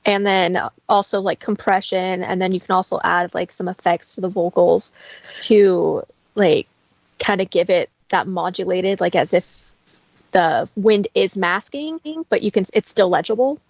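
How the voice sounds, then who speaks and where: A soft-spoken woman chatting casually as an audio engineer guest. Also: fairly low pitch, a little slow, no echo, lo-fi sound. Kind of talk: casual chat.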